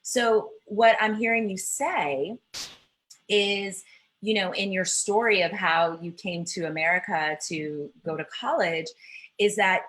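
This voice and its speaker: A woman's voice: mid-range voice, fairly even intonation, slow pace, a bit bright. A woman hosting a live online interview over a video call, reflectively summing up her guest's points. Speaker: host.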